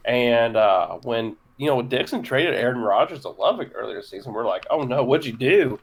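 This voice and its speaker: A man hosting a casual fantasy football podcast, often stumbling and filling pauses with uh. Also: medium pitch, lively intonation, gravelly, a little hiss or hum. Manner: hesitant, stumbling with many fillers. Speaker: podcast host. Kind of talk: casual chat.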